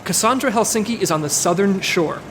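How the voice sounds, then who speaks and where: Man acting an earnest, high-voiced team leader. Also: a little fast, fairly bright tone, slight background noise. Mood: urgent command. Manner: commanding, formal, solemn. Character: earnest, self-sacrificing male team-leader agent. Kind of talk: audio drama acting.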